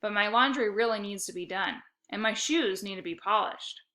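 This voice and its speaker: A woman's voice: mid-range voice, pushed and bright, close to an everyday voice. Woman voicing a noncommittal, stalling dad. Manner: deliberate, noncommittal. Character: stalling, musing, hard-to-convince father.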